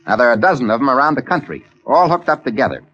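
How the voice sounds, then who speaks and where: A man's voice: mid-range voice, very animated, rapid-fire, fairly bright tone. Slangy American salesman, dull vintage sound. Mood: brisk sales patter. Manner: folksy, colloquial, exasperated with children. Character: slangy, wisecracking, impatient salesman and technician. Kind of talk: 1950s radio drama acting. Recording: dull vintage radio recording.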